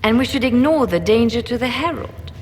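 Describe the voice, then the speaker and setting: An actress playing a wary, cautioning advisor at a war council in a game, with a measured, warning delivery. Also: female voice, on the high side, animated, natural voice. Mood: wary, objecting. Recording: game audio with hall reverb, faint noise.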